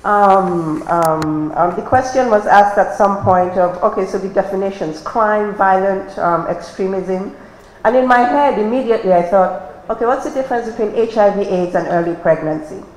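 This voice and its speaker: A woman's voice: medium pitch, moderate pace. A woman from Cameroon speaking unscripted at a workshop, earnest and passionate, with some fillers. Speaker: workshop participant. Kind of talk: unscripted remarks. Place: conference hall. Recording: echoey conference hall, faint noise.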